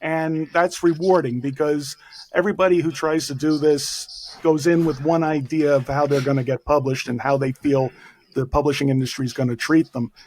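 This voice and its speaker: A man with a Bronx accent, talking as an author guest in a casual interview, self-deprecating and brisk, going on at length. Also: fairly high pitch, fairly even intonation, a little mellow, slight background noise.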